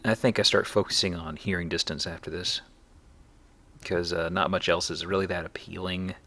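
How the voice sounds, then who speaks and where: A man's voice: sits low, steady tone, normal speed, sharp tone. A man hosting a let's play, giving casual, conversational game commentary with wry, jokey asides.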